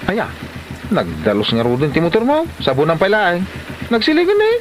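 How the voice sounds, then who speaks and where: A man's voice: sits high, huge pitch swings, a little fast, slight background noise. A man with an Ilocano Filipino accent playing a teasing, amused buddy in a comedy drama. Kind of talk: Ilocano comedy radio-style drama acting.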